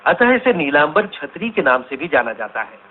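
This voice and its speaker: An actor playing an Indian radio science reporter, delivering a formal explanatory scripted report. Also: male voice, on the high side, big pitch swings, normal speed, over a noisy crowd. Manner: formal, expository. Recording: muffled, phone-like radio broadcast sound.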